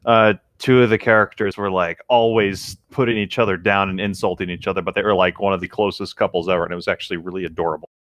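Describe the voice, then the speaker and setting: A man with a low voice chats casually as a co-host, picking his words tentatively. The sound is close and dry, with no room echo. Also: steady tone, fast, hardly any pauses. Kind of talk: casual group chat.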